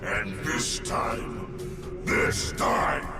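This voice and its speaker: An actor voicing an evil, tyrannical mask spirit in a video game, growling and shouting grandiose threats in fury. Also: male voice, deep voice, wildly swooping pitch, very slow, exaggerated voice. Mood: furious, menacing. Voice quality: gravelly, growling. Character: evil floating mask spirit, booming, tyrannical, furious villain. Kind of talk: theatrical video game villain acting. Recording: roomy echo, distant, loud music.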